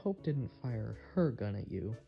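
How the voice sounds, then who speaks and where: A man's voice: mid-range voice, big pitch swings, measured pace, gravelly, highs cut off, soft background music. A man playing a clever, confident defense attorney in an audio drama, pointed and theatrical in delivery. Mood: pressing. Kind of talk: amateur audio drama acting.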